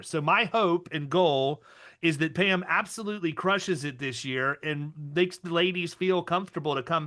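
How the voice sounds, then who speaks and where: A man hosting an insurance podcast, talking in an energetic, rambling way with frequent restarts. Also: on the high side. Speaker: podcast host. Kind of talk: casual interview chat.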